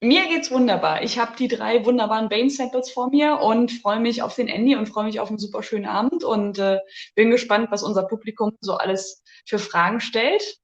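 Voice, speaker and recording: A woman co-hosting a casual livestream tasting, lively and chatty. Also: a little high, brisk, reverberant, lo-fi sound. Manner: lively, chatty, laughs easily. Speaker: co-host.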